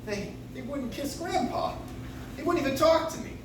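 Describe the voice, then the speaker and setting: A man's voice: very high-pitched, expressive, moderate pace. A man playing a flustered, grandfatherly judge in a radio play, hesitant and blustering but formal. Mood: worried, flustered. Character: flustered grandfatherly judge, weary, evasive, dignified. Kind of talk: old-time radio play acting. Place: coffee shop stage, recorded from the audience. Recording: noisy, very echoey live room, distant mic.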